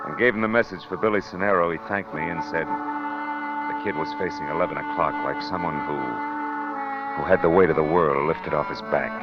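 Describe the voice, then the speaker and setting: An actor playing a cool, sardonic, hardboiled private eye in 1940s radio noir style. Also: male voice, rumbling low. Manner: sardonic, deadpan. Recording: dull vintage radio recording, dramatic music.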